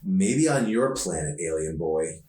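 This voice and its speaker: A man's voice: low voice, animated, measured pace, a little mellow. A man voicing an awkward, earnest, self-conscious teenage boy in an audiobook reading. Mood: excited, defensive. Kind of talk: audiobook dialogue. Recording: echoey room, distant mic.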